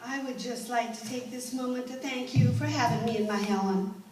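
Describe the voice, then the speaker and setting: A woman's voice: fairly high pitch, steady tone, moderate pace, over music, natural voice. A woman playing a devoted, protective teacher in a stage drama, formally reading a letter aloud. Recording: echoey room, distant mic, faint noise.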